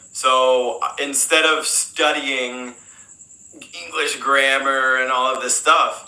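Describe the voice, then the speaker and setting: An American man, an English teacher, answering interview questions in a relaxed, rambling way, full of fillers and restarts. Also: mid-range voice, echoey room, poor audio. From United States.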